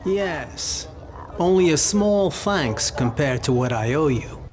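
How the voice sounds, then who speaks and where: An actor playing an older, genial and courteous arms dealer in a video game cutscene. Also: male voice, a little high, animated, slow pace, noisy background.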